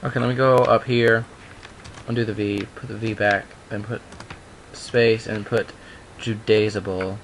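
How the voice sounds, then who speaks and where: Young adult male, lowish voice, amateur story reader with a loose conversational streak; faint noise on the recording. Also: flat delivery, unhurried. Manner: informal, breaks off into offhand remarks. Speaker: online video maker reading a horror story aloud. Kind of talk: amateur dramatic reading with casual asides. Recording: noisy amateur home recording.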